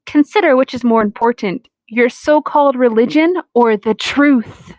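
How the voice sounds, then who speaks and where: Female comedy podcast co-host, higher voice, dry close sound, sarcastic and exasperated, sweary. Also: moderate pace, a little mellow, no room sound. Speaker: podcast co-host reading fan fiction aloud. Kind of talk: casual comedic commentary and read-aloud.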